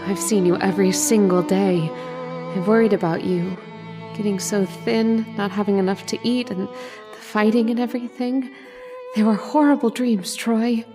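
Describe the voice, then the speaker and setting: A woman's voice: mid-range voice, fairly even intonation, normal speed, natural voice. A woman playing a tender, insistent, eerily knowing dream lover in an old-time radio horror drama, intimate and melodramatic. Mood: tender, anxious. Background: violin music.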